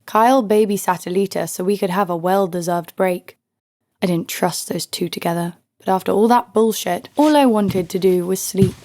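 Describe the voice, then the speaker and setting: A woman's voice: medium pitch, normal speed, darkish tone, a little hiss or hum, natural voice. A woman playing a tough, sardonic young bounty hunter who narrates an audio drama in a wry, world-weary way. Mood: wry narration. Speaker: narrator and lead.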